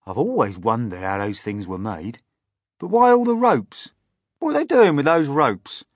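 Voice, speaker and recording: A man's voice: on the low side, moderate pace, dry recording, clean studio sound, close to an everyday voice. A man voicing a brash centipede in a storybook, blunt, scornful and emphatic. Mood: curious. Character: brash, sharp-tongued male centipede.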